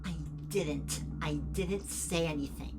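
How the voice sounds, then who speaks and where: A woman's voice: low-pitched, slow, music in the background. A woman acting in an audio drama as a guilt-ridden, frightened young student who is anxious and defensive. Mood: subdued, doubtful. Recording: noticeable background noise and ambience.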